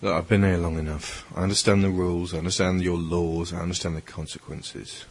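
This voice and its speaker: A man's voice: deep voice, little pitch movement, a little fast. A man playing an earnest, troubled schoolboy in an audio drama. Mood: heated, earnest. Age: teenage schoolboy figure. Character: earnest, troubled schoolboy pleading against violence. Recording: music under the voice.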